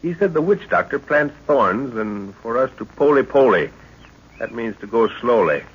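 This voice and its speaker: A man's voice: medium pitch, very animated, moderate pace, slightly rough. An actor playing an older, absent-minded professor and father in an old radio adventure serial, exclaiming and muttering to himself in an avuncular way. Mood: wary. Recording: muffled, noisy old-time radio recording.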